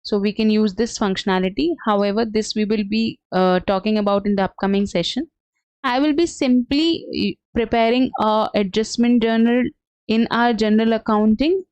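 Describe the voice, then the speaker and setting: A woman giving an unscripted software tutorial as a trainer, explaining step by step in a halting, hesitant way. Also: fairly high pitch, clean tone, dry recording. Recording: dull, lo-fi, dry close sound.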